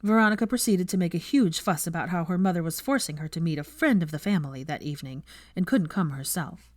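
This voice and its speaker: A woman narrating fiction as an audiobook reader, in an expressive scripted storytelling style. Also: on the low side, fast talker, no room sound, clean studio sound.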